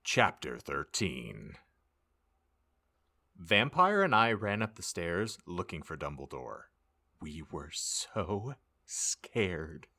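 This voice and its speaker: A man's voice: deep voice, big pitch swings, slow, bright, forceful tone. A man reading fan fiction aloud as comic audiobook narration, theatrical and mock-serious. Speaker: podcast host reading an audiobook.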